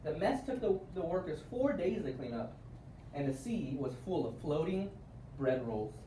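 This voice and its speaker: A man reading a children's storybook aloud in an expressive way with emphatic word stress, recorded at a distance in a room. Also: a little high, moderate pace. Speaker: storybook reader. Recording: roomy, distant mic with faint noise.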